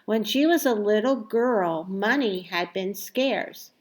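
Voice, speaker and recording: A woman reading a children's story aloud expressively, with deliberate phrasing and emphatic stressed words. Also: medium pitch. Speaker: storybook reader.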